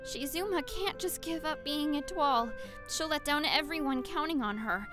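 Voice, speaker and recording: A woman plays an eager, impulsive teenage schoolgirl in an anime fan dub. Her voice sits high, and she speaks earnestly, rising quickly to determination. Faint music plays behind her. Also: natural voice.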